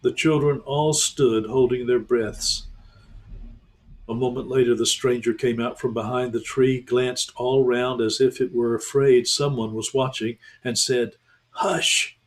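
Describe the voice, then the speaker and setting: A man, a pastor, reading a children's novel aloud in a calm, measured way. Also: mid-range voice, rough recording. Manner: calm, measured, slows for tension. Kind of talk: storybook read-aloud.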